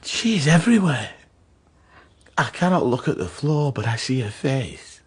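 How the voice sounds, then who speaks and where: An actor playing a haunted, obsessed, grieving master in a radio drama, intense and brooding, with a hoarse, breathy voice. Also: male voice, mid-range voice, sing-song, moderate pace. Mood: haunted, anguished.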